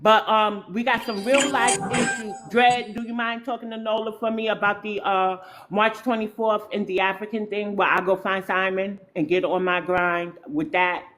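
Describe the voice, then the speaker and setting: A woman's voice: mid-range voice, fairly even intonation, moderate pace, few pauses, a bit bright, a little hiss or hum. A woman with a New York accent hosting a livestream, talking straight to her chat in an opinionated, slangy way. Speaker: livestream host. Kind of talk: casual livestream talk.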